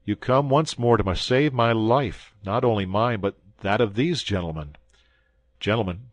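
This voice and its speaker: A man voicing a young guardsman hero who speaks urgently and earnestly, raised somewhat above his own low register. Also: moderate pace, dry recording, close to an everyday voice. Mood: grave. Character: young male guardsman hero, passionate and alarmed.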